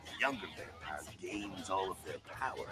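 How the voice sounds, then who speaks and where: A sardonic vampire rebel leader in a video game. His voice sits low and rumbly and moves widely as he spins his schemes in a drawling, conspiratorial tone. Game music plays behind him. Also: expressive, moderate pace, bright, forceful tone. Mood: wry. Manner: drawling, conspiratorial, calls the player kiddo.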